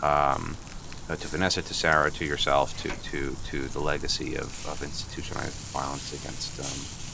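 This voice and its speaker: An actor in an improvised comedy radio play playing a flustered, over-apologetic boyfriend who stammers, agrees readily and repeats himself. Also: male voice, very deep voice, little pitch movement, moderate pace, vocal fry, noisy background. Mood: flustered apology.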